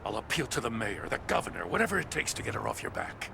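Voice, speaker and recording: Game role: principled older cop in charge, earnest, blunt, lively intonation, clear street noise underneath. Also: male voice, on the low side, fast, heavily acted. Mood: concerned. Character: gruff, principled older male police chief. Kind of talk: dramatic video game acting.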